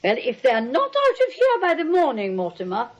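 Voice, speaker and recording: A woman's voice: high-pitched, wildly swooping pitch, normal speed, exaggerated voice. An older woman playing a genteel, stubborn, dotty old aunt in old-time radio comedy, prim and matter-of-fact. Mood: firm, indignant. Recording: dull vintage radio recording.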